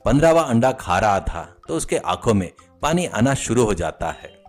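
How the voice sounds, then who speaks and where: A man's voice: on the low side, sing-song, moderate pace. A man narrating a scripted comic moral story as its storyteller. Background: music.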